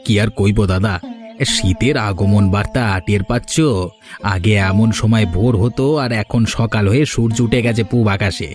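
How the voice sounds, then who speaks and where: A man's voice: on the low side, fairly even intonation, normal speed, music in the background, natural voice. A man playing an amiable, obliging younger village tea seller in a cartoon story. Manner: obliging, conversational.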